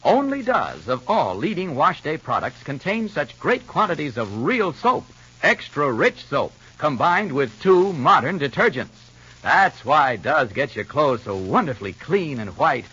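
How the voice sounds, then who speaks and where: An American man working as a radio announcer, reading vintage soap advertising in a slightly raspy voice. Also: medium pitch, pushed and bright. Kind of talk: 1950s radio advertising read. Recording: dull vintage radio recording.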